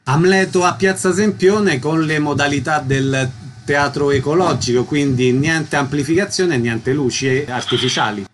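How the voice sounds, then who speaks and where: Brisk male radio host. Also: on the high side, slight background noise. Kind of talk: radio interview.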